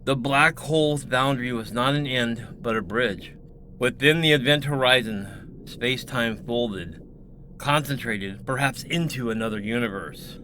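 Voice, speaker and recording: Bright, forceful male sci-fi narrator. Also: medium pitch, measured pace, a little hiss or hum. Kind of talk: dramatic scripted science fiction narration.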